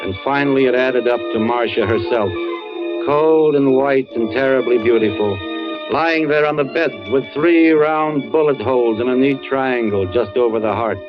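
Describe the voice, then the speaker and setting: An actor with an American accent playing a grim, world-weary narrator of a radio murder story, in deliberate, hard-boiled phrasing. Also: male voice, mid-range voice, natural voice. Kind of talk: 1940s-50s American radio suspense narration. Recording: muffled old radio recording, loud music behind.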